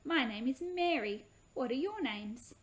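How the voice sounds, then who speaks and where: A woman voicing a gentle, earnest young mother-to-be from ancient times in a children's story, kindly and questioning. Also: high voice, animated, a little slow.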